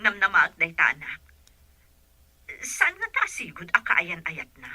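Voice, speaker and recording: An actress playing a worried, loving mother of grown sons in a radio drama, soft-hearted and sighing. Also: fairly low pitch, very animated, measured pace, husky, no room sound, exaggerated voice. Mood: worried, tender.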